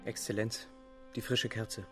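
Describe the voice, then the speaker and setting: An actor playing a timid, lovestruck servant's son, halting, husky and deferential, in a German radio crime drama. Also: male voice, lowish voice, little pitch movement, music in the background. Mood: timid, anxious.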